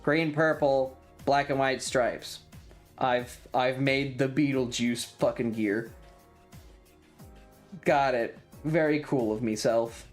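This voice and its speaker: A man chatting casually as a streamer, thinking aloud in an informal, sweary way. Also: mid-range voice. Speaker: streamer and video creator. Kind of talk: casual rambling monologue.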